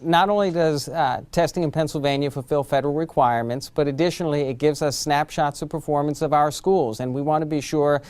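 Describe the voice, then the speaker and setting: A man's voice: fairly high pitch, a little fast, hardly any pauses, a little mellow, smooth, no room sound. An American man speaking as a government education official, giving formal interview statements.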